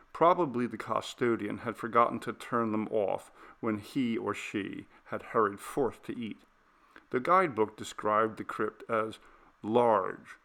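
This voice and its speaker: A man narrating a literary ghost story as an audiobook reader, with measured, deliberate phrasing. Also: fairly low pitch, gravelly, a bit bright.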